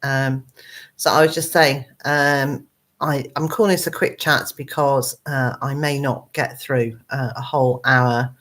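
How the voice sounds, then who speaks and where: A woman with a hoarse, croaky voice, hosting a casual live chat from her computer at home, rambling with many ums and uhs. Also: very deep voice, steady tone, unhurried, a bit bright. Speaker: gardening channel host.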